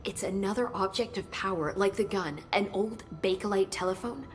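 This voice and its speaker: An actress playing an earnest woman researcher at a secret agency, speaking softly and thoughtfully in game cutscenes. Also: medium pitch, normal speed, faint music behind, natural voice. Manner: soft, hesitant, thoughtful with sighs. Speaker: game character. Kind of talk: video game cutscene dialogue.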